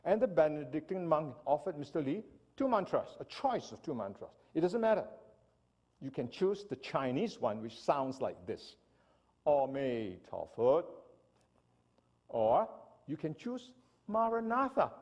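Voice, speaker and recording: A man from Singapore delivers an animated church seminar talk, often turning to his listeners with "right?". His voice sits a little high and is husky, and it swings up and down freely. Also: unhurried, muffled recording. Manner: animated lecturing, asks audience "right?". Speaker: speaker.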